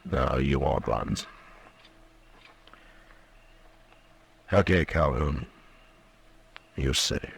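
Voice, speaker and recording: A stern Old West lawman in vintage American radio drama. His voice is very deep, and he speaks in short, firm, measured lines that never sound afraid. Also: gravelly, a little hiss or hum, exaggerated voice. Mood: grim, weary. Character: stern, steady, fearless Old West U.S. marshal. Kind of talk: 1950s American radio western acting.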